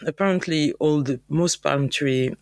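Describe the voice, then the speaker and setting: A French-accented woman speaks in an upbeat, animated way, now and then pausing to search for a word. Her voice carries a gravelly, creaky texture. The recording is dry and close, with no room sound. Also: sits low, dry recording. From France. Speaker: guest, travel company founder. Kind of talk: conversational interview.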